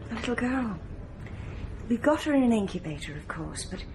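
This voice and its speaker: An actress playing a gentle, professional hospital staff woman in a radio drama. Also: fairly high pitch, very animated, normal speed, noisy background. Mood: gentle, reassuring.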